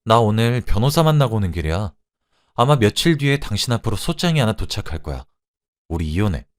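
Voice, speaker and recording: A man acting a betrayed middle-aged wife who makes bitter, sharp accusations in a dramatized story. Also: lowish voice, sing-song, brisk. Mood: cold, confronting.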